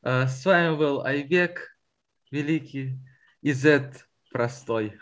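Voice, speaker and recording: A man's voice: medium pitch, slow pace, fairly bright tone. A man co-hosting a podcast, asking questions in casual chat and mixing in English words. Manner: mixes English words into his speech. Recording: dull, lo-fi sound.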